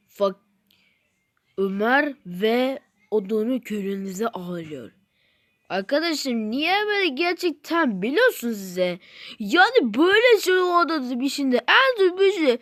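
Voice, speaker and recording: High-pitched, exclamatory gaming YouTuber. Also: no echo, clean studio sound. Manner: rambling, exclamatory, repeats phrases, addresses viewers as friends. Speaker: video game YouTuber. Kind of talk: excited let's play commentary.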